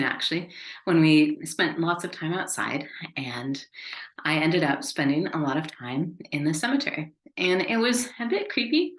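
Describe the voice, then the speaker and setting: A woman's voice: low-pitched, a bit bright. An American woman giving an upbeat, conversational business webinar. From United States. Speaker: business consultant. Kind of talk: webinar presentation. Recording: echoey room.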